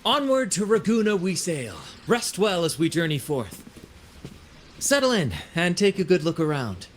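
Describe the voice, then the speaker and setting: A flamboyant sea captain from a video game, genial and grand. His delivery is highly animated and showy, with florid phrasing. Some ambient noise sits behind the voice. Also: moderate pace. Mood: welcoming, grand. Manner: grand, showman-like, flowery phrasing. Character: flamboyant, genial male ship captain and showman. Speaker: video game character voice actor. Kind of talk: theatrical video game dialogue.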